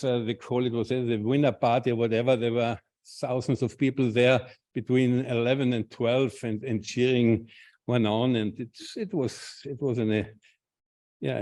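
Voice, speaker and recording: Halting Austrian man giving a talk. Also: mid-range voice, steady tone, a little mellow. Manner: halting, many restarts and repeated words. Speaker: invited speaker, clinician researcher. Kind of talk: conference talk with slides.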